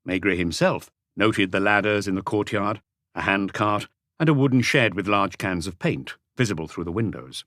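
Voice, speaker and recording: A man's voice: on the low side, animated, normal speed, fairly bright tone, dry recording, studio quality. A man narrating a mystery audiobook as a scripted reading.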